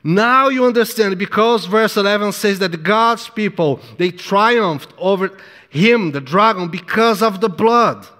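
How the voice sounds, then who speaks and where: A man's voice: a little slow, sharp tone. A man preaching a sermon in accented English, a non-native speaker who acts out voices dramatically. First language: not English. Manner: dramatic, acts out the devil's voice in low tones. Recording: long hall reverb.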